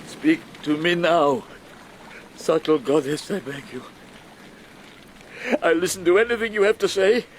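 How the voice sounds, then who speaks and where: An actor playing an old wizard in a radio drama, ranting and declaiming in defiance of the gods. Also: male voice, on the high side, a little slow, pauses often, lightly acted. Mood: awed pleading. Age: older. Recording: noisy watery outdoor sound effects.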